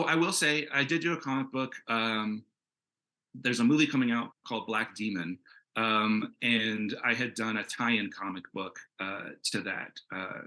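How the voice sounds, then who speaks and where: A man answering casually as an interview guest, halting as he searches for his words. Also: lowish voice, pushed and bright, low-quality recording. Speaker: comics creator and screenwriter, interview guest. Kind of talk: casual Q&A chat.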